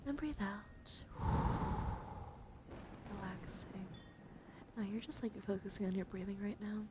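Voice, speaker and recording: A woman livestream host speaking in a soothing, mostly whispered ASMR style, breathy and casual, with playful encouragement. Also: medium pitch, normal speed, heavy background noise. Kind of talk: whispered ASMR card reading with casual chat.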